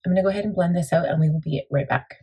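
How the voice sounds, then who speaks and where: A woman with a gravelly morning voice, chatting casually through a makeup tutorial with lots of fillers. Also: racing, dark tone. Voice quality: gravelly, deep. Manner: chatty, rambling, many fillers. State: morning voice, a bit crackly. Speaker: beauty vlogger.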